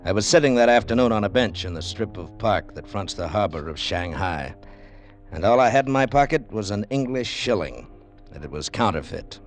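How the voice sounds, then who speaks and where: An actor playing a tough, wry, broke American adventurer in an old radio drama, clipped and world-weary. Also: male voice, on the low side, lively intonation, normal speed, faint music behind, natural voice. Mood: wry, bitter. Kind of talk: 1940s-50s radio drama acting and narration.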